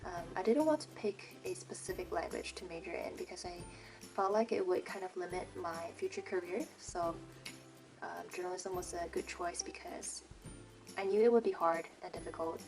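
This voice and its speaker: A young woman from Malaysia, Mandarin her first language, talks through a casual vlog in English with an American lean. Her voice sits a little low, and she pads her sentences with "um" and small laughs. Faint music plays softly behind her. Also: moderate pace. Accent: American-leaning English. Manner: chatty, frequent "um" fillers and little laughs. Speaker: vlogger.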